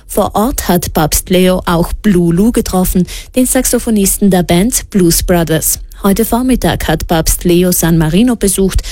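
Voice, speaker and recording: Woman, news presenter, warm low voice, dry studio sound. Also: dry recording. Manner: steady, measured news reading. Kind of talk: read radio news. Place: radio studio. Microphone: studio microphone.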